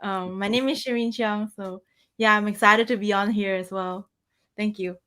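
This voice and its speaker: A woman's voice: medium pitch, fairly even intonation, normal speed, a bit bright. A woman from Malaysia speaking conversationally as a musician guest in an interview. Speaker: interview guest, pianist and composer.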